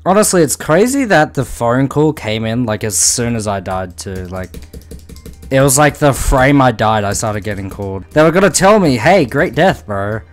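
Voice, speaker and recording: A man's voice: mid-range voice, lively intonation, normal speed, soft background music. Chill gamer dude on voice chat, jokey, cusses when he dies. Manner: laid-back, laughs a lot, swears when he dies. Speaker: gamer streaming with friends on voice chat. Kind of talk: casual gaming chat.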